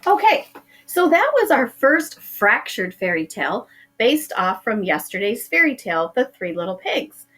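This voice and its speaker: Middle-aged female teacher, slow expressive read-aloud voice, slightly high. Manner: expressive, drawing out words for effect. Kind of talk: reading a picture book aloud to pupils.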